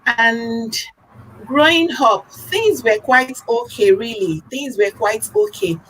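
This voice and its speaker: A young woman with a Nigerian accent speaks casually as a talk show guest. Her voice sits a little high, and she often circles back to repeat a phrase for emphasis. There is faint noise behind her. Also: animated, slow, a bit bright, rough recording.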